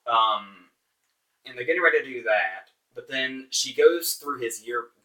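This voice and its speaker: A man hosts an informal movie review in a bright, forceful voice, sounding exasperated and sarcastic. He leans hard on his words and swears casually. The microphone is some distance away from him. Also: mid-range voice, lively intonation, a little slow. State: voice getting hoarse. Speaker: movie reviewer, show host.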